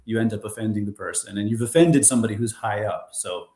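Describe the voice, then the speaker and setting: Low-voiced American man, echoey room. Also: quick pace. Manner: rambling, restarts often, animated storytelling. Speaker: guest, former bar owner in Korea. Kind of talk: casual interview conversation.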